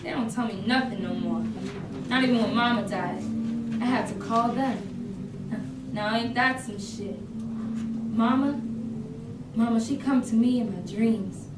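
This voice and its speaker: A woman's voice: on the high side, unhurried, long pauses, natural voice. Woman acting Ivy, resentful youngest sister, echoey room. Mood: dreamy. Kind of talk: staged play reading, dramatic monologue. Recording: echoey room, distant mic, noisy.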